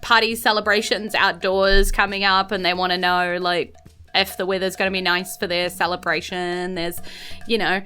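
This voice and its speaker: A woman's voice: fairly low pitch, level tone, moderate pace, pushed and bright, clean tone, soft background music. A woman with a New Zealand accent, casually narrating a tabletop game as game master.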